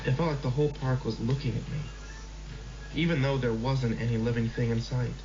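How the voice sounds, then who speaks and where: A man's voice: mid-range voice, fairly even intonation. Man narrating a horror story. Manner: measured, slow in tense passages. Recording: slightly dull lo-fi recording with music.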